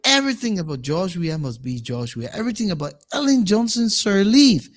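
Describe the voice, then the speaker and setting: A man with a Liberian accent hosts a current affairs show, pressing his points with emphasis and repeated words. His voice sits a little high for a man. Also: big pitch swings, a little fast. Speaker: talk show host.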